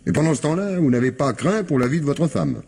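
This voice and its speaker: Sceptical male commissioner, mellow yet highly inflected, measured interrogator for radio drama. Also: very animated, slightly rough. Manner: questioning, dry, measured. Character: probing, sceptical, unhurried male police commissioner. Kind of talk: radio mystery drama acting.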